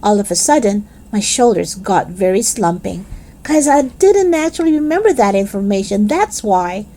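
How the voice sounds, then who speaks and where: A woman's voice: mid-range voice, normal speed. A woman reading a children's chapter book aloud, narrating expressively as a child in the first person. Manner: animated, dramatic character reading.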